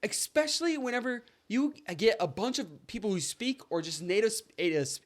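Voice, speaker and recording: A young man co-hosting a podcast, telling stories in a casual, animated way that jumps up high when he gets excited. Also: a little fast. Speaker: podcast co-host. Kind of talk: casual storytelling chat.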